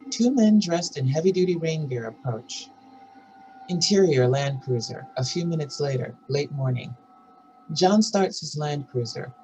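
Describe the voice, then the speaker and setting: Mid-pitched screenplay narrator, faint noise. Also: lively intonation, unhurried, warm tone, poor audio. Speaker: narrator reading stage directions. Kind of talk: scripted narration at a table read.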